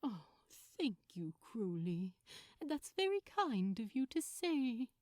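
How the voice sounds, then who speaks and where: A woman voicing a sweet, gently flirtatious man who talks politely and teasingly in a fan fiction reading. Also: on the high side, big pitch swings, slow, dry recording, studio quality. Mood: touched. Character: sweet, gently flirtatious male gift-wrapper. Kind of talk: fan fiction audiobook dialogue.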